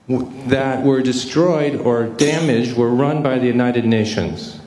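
A man giving a grave, deliberate public comment at a floor microphone in a large meeting hall. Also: mid-range voice, darkish tone.

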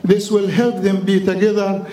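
A high-pitched man with a mellow, darkish tone speaks earnestly at a meeting's floor microphone, appealing to the council in slightly non-standard English. He is from the Middle East. The sound is roomy and heard from some distance in a big hall. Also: flat delivery, moderate pace. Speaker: chapter councilor. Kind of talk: public comment speech at a meeting. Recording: echoey hall, distant mic.

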